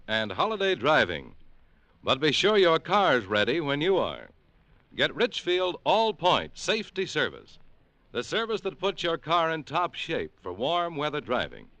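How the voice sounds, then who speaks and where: A man announcing a 1940s radio program, reading sponsor messages and credits in a crisp, emphatic, formal manner. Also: a little high, a bit bright, no room sound.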